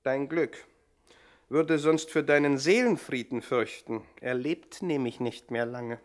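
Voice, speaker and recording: An actor playing a cool, smug gang boss who calmly plans a killing, in a 1960s radio drama. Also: male voice, mid-range voice, a little slow, close to an everyday voice. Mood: menacing. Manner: cool, smug, quietly threatening. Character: calm menacing gang boss.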